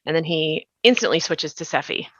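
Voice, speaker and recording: A woman's voice: sits low, expressive, fairly quick, no room sound, dull audio. A woman hosting a podcast discussion in a conversational, thoughtful way, often trailing off mid-thought. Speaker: podcast host and interviewer. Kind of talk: conversational behind-the-scenes discussion.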